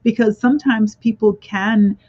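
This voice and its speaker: A woman's voice: on the high side, steady tone, normal speed. Woman, radio talk host, casual storytelling, encouraging, muffled lo-fi audio. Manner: warm encouraging affirmations, storytelling. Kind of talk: casual chat.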